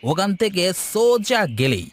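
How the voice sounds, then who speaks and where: A man's voice: sits high, sing-song, normal speed, a little hiss or hum. A man voicing an eager village henchman in a cartoon, emphatic and deferential like an informant. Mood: matter-of-fact. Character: anxious, eager village henchman reporting to his master. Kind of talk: Bengali moral-story cartoon dubbing.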